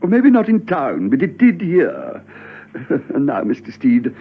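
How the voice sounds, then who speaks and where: Stuffy irritated office boss, curt n formal, lively husky voice, tinny old radio play sound. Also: male voice, medium pitch, measured pace, heavily acted. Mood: strained politeness. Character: fussy, irritated male security-conscious office official. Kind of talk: radio drama acting. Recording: thin phone-like old radio recording.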